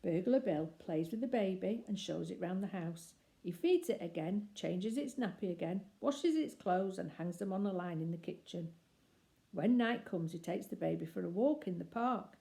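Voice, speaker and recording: A woman reading a children's story aloud expressively, with deliberate phrasing and emphatic pauses. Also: lowish voice, animated, gravelly, mellow.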